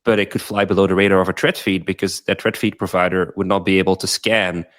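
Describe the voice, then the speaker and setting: Man explaining, slightly low voice. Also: little pitch movement, fast talker, a bit bright. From Belgium. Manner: fluent explainer, tags points with "right?". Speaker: guest, company founder. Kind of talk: explanatory interview answers.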